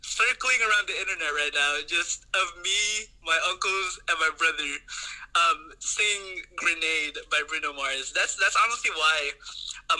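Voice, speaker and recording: A young man from the Philippines talks as a podcast guest, his voice slightly high and strikingly bright and forceful. He rambles happily through his stories, full of fillers and restarts. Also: rough recording. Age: young adult. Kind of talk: casual chat.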